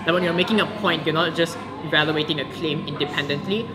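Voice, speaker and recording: A man's voice: a little high, fairly even intonation, fast talker, noisy background. A young man, a debater, explaining his views in a thoughtful, articulate way. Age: young adult. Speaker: debater, guest. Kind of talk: casual interview.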